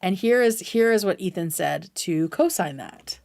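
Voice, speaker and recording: A woman's voice: on the low side, lively intonation, normal speed, gravelly, a little mellow. A woman hosting a livestream with casual, opinionated commentary, speaking bluntly and swearing freely. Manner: blunt, profane. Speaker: streamer, host.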